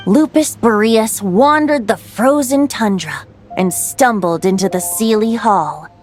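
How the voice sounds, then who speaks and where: A woman's voice: medium pitch, unhurried, darkish tone, soft background music, close to an everyday voice. A woman voicing a playful young male bard who tells tales in a lilting, lyrical way. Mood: storytelling. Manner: lilting, teasing, then lyrical storytelling. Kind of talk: video game voice acting.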